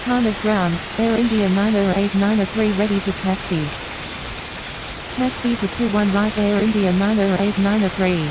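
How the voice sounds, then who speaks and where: Female pilot radioing in, average pitch, clear static hiss, set phrases. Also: moderate pace. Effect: aviation radio. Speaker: airline pilot on air traffic radio. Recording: steady radio hiss over voice.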